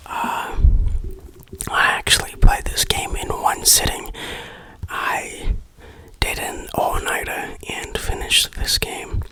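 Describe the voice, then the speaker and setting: An ASMR host reviewing in a breathy, unvoiced whisper, with a playful, dryly self-mocking manner. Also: slow pace, pushed and bright.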